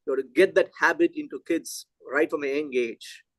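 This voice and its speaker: A middle-aged man from India, a Telugu native, giving a physics lecture in an animated, conversational way and thinking aloud. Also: a little high, fairly quick, dry recording.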